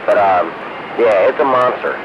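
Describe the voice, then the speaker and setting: A man's voice: fairly low pitch, animated, normal speed. Man on muffled, noisy radio. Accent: American. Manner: rambling, peppered with "you know what I mean". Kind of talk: casual two-way radio chat.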